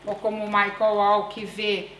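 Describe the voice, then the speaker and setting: A woman researcher with a Brazilian accent tinged by European Portuguese, giving a conference presentation in a deliberate, measured way with frequent hesitations. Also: medium pitch, level tone, a bit bright, a little hiss or hum. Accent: Brazilian Portuguese with European Portuguese influence. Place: conference room. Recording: echoey room with faint ambience.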